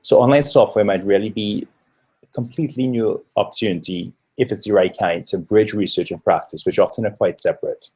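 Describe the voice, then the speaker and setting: Man from Trinidad, very low voice, husky tone, earnest explanatory conference talk. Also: brisk, warm tone. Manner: earnest, explanatory, with frequent fillers. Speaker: researcher presenting a talk. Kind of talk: conference presentation.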